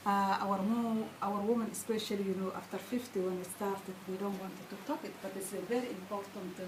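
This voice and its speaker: A woman's voice: mid-range voice, steady tone, a little fast, echoey room, distant mic, background noise. A woman from Somalia, a guest on a radio panel who talks earnestly and often restarts her phrases. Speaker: studio guest.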